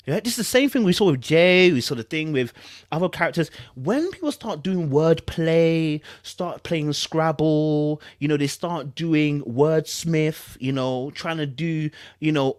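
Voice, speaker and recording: A man's voice: fairly high pitch, darkish tone, no echo, studio quality. A British man doing casual online commentary, reading aloud dramatically and repeating phrases for emphasis in a teasing, incredulous way.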